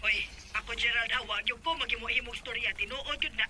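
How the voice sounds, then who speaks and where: A woman's voice: on the high side, quick pace, close to an everyday voice. An actress with a Cebuano Filipino accent playing a defensive, scolding mother of a grown son in a radio drama. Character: nagging, accusing mother-in-law, mother of a grown son. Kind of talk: Bisaya radio drama acting. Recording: very noisy radio drama recording.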